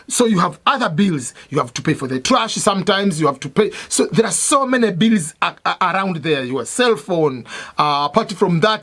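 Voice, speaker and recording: A man with a fairly high voice talks through his points at an unhurried pace. He is a native Swahili speaker and a veteran who explains patiently, repeats himself and fills his pauses with "uh" and "I mean". It sounds like an informal advice talk. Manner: steady, repetitive explaining with many fillers and restarts. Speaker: military veteran giving advice.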